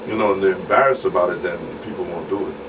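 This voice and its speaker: A man, a radio DJ, talking casually in a halting way with many restarts. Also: low-pitched, fairly even intonation. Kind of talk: casual panel chat. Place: radio studio. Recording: distant mic with clear background noise.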